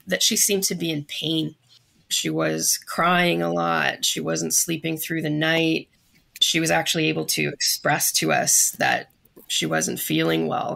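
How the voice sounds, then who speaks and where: A middle-aged woman with a Canadian accent, telling her story in a measured, reflective, conversational way as an interview guest. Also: low voice, vocal fry, sharp tone. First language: English.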